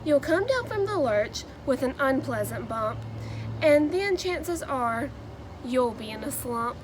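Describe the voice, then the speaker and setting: A young woman with a high voice does a children's read-aloud. Her pace is very unhurried and her delivery has a sing-song storybook lilt. There is faint noise behind her. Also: very animated. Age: 20s. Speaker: new education graduate reading a children's book.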